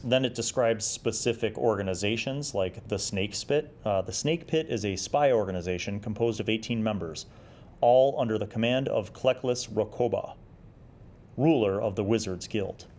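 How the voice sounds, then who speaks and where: A man's voice: fairly low pitch, moderate pace, a little mellow. A middle-aged man hosting a review series, talking casually and enthusiastically in an informal, rambling way as he pages through material. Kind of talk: casual chatty magazine review, commenting while paging through.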